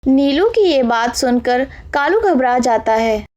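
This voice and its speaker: Female cartoon narrator, scripted storytelling, high register, unhurried pace. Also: animated, a little mellow, no echo.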